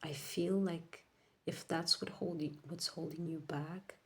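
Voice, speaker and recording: A woman whose first language is Portuguese, giving a casual tarot reading to viewers, rambling and correcting herself, often dropping into soft whispers. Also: sits low, fairly even intonation, a little slow. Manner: drifts into soft whispers while laying cards. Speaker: tarot reader.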